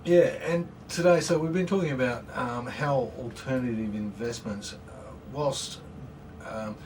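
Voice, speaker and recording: A man's voice: medium pitch, a little slow, far from the mic. Australian man hosting radio, noisy room. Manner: relaxed, hesitant with frequent "um". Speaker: radio show host. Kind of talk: radio talk show. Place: community radio studio.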